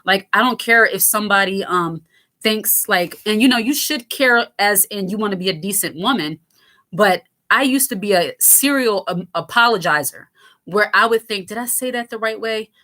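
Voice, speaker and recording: A woman in her thirties with a raspy, lower voice, hosting a casual commentary that answers viewer comments. She talks in a frank, lighthearted way, with lots of fillers and restarts. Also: moderate pace, bright, forceful tone. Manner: fillers and self-interruptions. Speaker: host.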